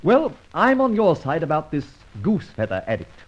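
An actor playing a debonair, flippant gentleman adventurer in an old radio drama, self-assured, with urbane, wordy phrasing. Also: a little high, huge pitch swings, normal speed. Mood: bantering, confident. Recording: muffled old radio transcription recording.

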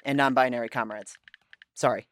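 A woman's voice: rumbling low, fairly even intonation, fairly quick. An eager, bossy ghost-hunting boss in an audio drama. She addresses the crew like the one in charge.

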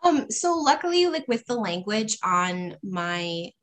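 A woman guest on a podcast telling stories in a relaxed, thoughtful way, often pausing with "um". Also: mid-range voice. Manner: thoughtful, hesitant with frequent ums. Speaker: interview guest. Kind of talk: casual conversational storytelling.

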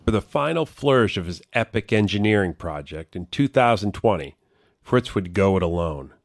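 A man's voice: fairly low pitch, expressive, normal speed, dry recording, studio quality. A man reading a scripted, narrative feature story as a reporter and narrator.

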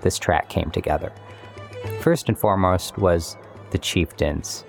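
Male composer, interview style. Deep voice, thoughtful and explanatory, clear musical bed underneath. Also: unhurried, music in the background. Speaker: composer and musician interviewed about his work. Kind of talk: reflective interview talk.

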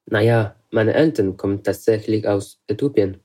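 A man's voice: fairly low pitch, moderate pace, mellow. A man from Norway, a German speaker, leaving a prepared audio question as a listener. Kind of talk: prepared voice message.

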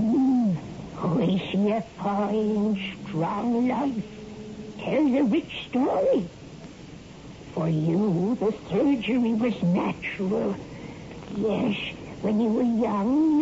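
A woman's voice: fairly high pitch, warm tone. An actress playing an old fortune teller, a humble yet foreboding grandmother, speaking portentously in a 1960s radio drama. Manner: slow, portentous. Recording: dull, noisy old radio recording.

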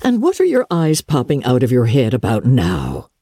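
A woman's voice: a bass voice, wildly swooping pitch, moderate pace, dark tone, slightly rough, no room sound, heavily acted. A woman voicing a stern older spinster who answers a child curtly and dryly in an audiobook. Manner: curt, dry, unimpressed.

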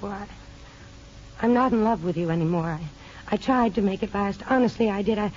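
An actress in a 1950s radio drama playing an earnest, hesitant young hospital aide from a farm. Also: female voice, mid-range voice, a little mellow, close to an everyday voice. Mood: nervous, resolute. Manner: hesitant, halting, restarts phrases. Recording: muffled, noisy old radio broadcast.

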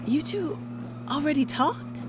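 A woman's voice: high-pitched, lively intonation, slow, noisy background. A woman playing an ambitious, persistent junior TV producer in a naturalistic audio drama. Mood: flustered.

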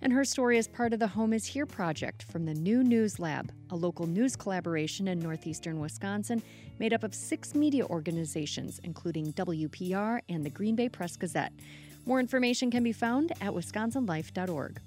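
A woman radio producer introduces and closes a segment in a clear, measured read. Her pitch is average for a woman. Light music sits beneath her. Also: lively intonation, a little mellow. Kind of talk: scripted radio narration. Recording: faint music behind voice.

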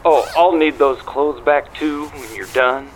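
A man's voice: mid-range voice, a little slow, a little hiss or hum, natural voice, low-quality recording. A man playing a chatty, conspiratorial coin-club ticket clerk in an audio drama, with a chirpy, knowing manner. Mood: knowing, helpful.

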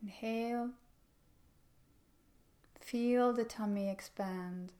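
A woman leading a guided meditation in a calm, gentle, unhurried manner with pauses. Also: on the high side.

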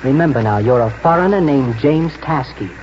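A man playing an earnest, protective young horse trainer in a 1940s radio drama, urgent and halting as he instructs. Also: mid-range voice, lively intonation, close to an everyday voice. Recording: muffled, noisy old 1940s radio broadcast.

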